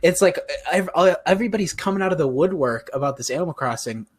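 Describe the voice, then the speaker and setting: A man's voice: fairly high pitch, expressive, fast talker, breathy edge. Upbeat man in his twenties, co-hosting. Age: 20s. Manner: upbeat, laughing often. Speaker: podcast co-host. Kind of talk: casual chat.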